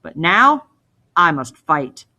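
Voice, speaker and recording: Lady doing a reckless young hero guy from a storybook, earnest, stubborn, kinda low voice. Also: big pitch swings, takes it slowly, bright, forceful tone, muffled recording, heavily acted. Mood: resolute. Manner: earnest, defiant, declarative. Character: bold, reckless young male hero, proud and single-minded.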